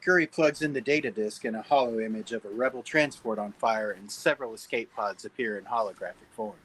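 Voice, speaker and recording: A man's voice: on the low side, a little fast, a bit bright, slightly rough, poor audio. A man narrating stage directions from a script at a table read.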